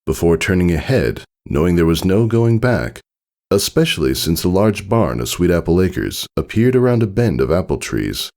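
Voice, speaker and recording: A man reading a scripted story aloud as an expressive narrator. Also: deep voice, moderate pace. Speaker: fan fiction reader.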